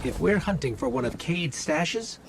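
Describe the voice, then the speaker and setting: An actor playing a watchful, dry-witted companion who guides a hero through video game missions with wry encouragement. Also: male voice, fairly high pitch, big pitch swings, normal speed, vocal fry, slight background noise. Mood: wry, encouraging.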